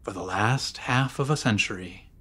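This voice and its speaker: A man's voice: lowish voice, sharp tone, slightly rough. Brooding male horror audiobook narrator. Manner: deliberate, theatrical, brooding. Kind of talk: dramatic gothic horror narration.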